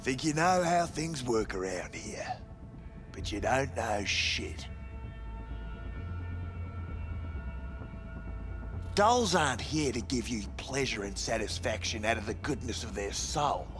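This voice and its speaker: A man's voice: medium pitch, expressive, a little slow, a bit bright, faint music behind. An actor playing a sleazy, cynical male brothel manager in a cyberpunk video game, talking in crude, dismissive slang. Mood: guarded, scornful. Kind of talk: video game dialogue acting.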